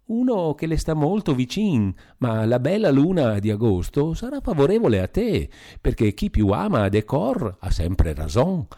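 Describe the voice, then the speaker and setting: A man's voice: medium pitch, sing-song, moderate pace, warm tone, voice pushed up. A man voicing a flirtatious female medium with a Veronese accent, speaking in a mystical, coaxing singsong. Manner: sing-song, mystical, coaxing. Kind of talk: audiobook character voice.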